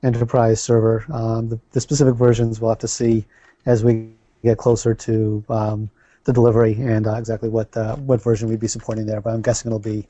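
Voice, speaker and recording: A man answering interview questions about a product in a measured, businesslike way with frequent ums. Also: on the low side, monotone, dark tone, no room sound. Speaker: interview guest, product spokesman.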